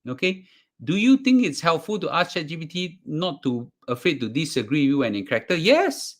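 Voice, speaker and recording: A man's voice: a little high, very animated. A man with a Singaporean accent talking casually to a class as presenter, restarting and repeating words. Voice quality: halting, repeats words, fillers. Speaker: course presenter. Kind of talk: casual live class talk.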